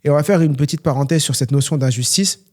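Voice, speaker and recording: Earnest man with a mellow voice. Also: on the high side, fast. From France. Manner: earnest, motivational, many fillers. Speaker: podcast host and trainer. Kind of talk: solo Q&A podcast talk.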